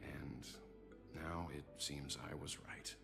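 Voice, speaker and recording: An actor playing a composed, serious male investigator in a sci-fi fantasy game, speaking in a measured way. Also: very deep voice, fairly even intonation, gravelly, full character voice. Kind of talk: scripted game dialogue. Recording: game music behind. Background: game music.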